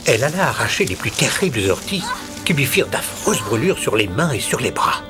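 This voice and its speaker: A man playing the narrator of an animated fairy tale, telling the story in a scripted storybook style. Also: mid-range voice, brisk, loud music behind the voice, natural voice. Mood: storytelling.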